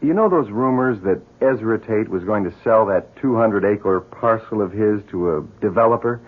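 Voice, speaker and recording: A reasonable, sympathetic neighbour from a 1970s radio drama. His voice is fairly low and husky with animated inflection, heard through a muffled old broadcast recording. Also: moderate pace. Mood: glum, concerned. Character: concerned, reasonable male family friend and neighbour.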